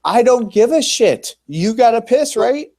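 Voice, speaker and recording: A plain-spoken man talking in a casual group chat, pausing on fillers. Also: very high voice, expressive, warm tone. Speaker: caller.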